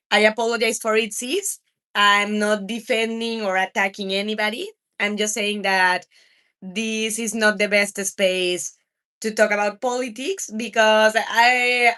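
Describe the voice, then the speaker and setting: A woman's voice: mid-range voice, fairly bright tone. A woman in her thirties from Mexico, a native Spanish speaker with a Mexican accent, chatting casually with viewers as a livestream host. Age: 30s. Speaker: video game live streamer.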